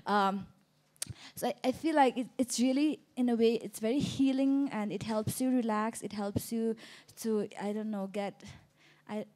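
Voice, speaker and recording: A woman's voice: a little high, steady tone, moderate pace, darkish tone. A woman moderating a casual onstage conversation, searching for her words as she goes.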